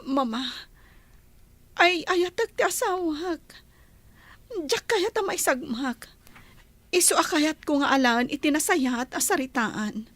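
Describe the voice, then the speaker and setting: High-voiced, pleading worried wife. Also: female voice, lively intonation, takes it slowly, pauses often, a bit bright, dry recording, heavily acted. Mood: anguished. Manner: pleading, anguished. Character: worried, pleading young wife of a violent husband. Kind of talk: melodramatic radio drama acting.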